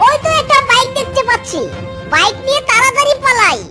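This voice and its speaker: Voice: extremely high pitch, expressive, fast. An actor voicing a sly, greedy, lazy male cartoon fox, with an exclamatory, cajoling manner. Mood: panicked. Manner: exclamatory, cajoling, catchphrase-laden. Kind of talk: exaggerated children's cartoon acting. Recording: music behind the voice.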